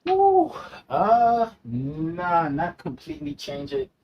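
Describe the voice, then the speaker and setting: A man's voice: sits high, wildly swooping pitch, slow pace, vocal fry, highs cut off. Laid-back slangy man who laughs a lot. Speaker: rapper guest. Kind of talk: casual interview chat.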